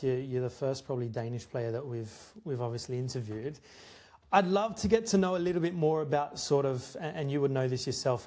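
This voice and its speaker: A man's voice: mid-range voice, a little mellow. A young man hosting a podcast, interviewing in an informal, chummy way with affirming interjections. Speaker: podcast host.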